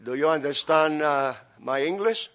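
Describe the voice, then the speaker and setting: A man's voice: on the high side, normal speed, a bit bright, lo-fi sound. A man from the Philippines with a Filipino accent, preaching a sermon and praying as a guest pastor, chatty with joking asides and many fillers. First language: Filipino language. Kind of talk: sermon with prayer and humor.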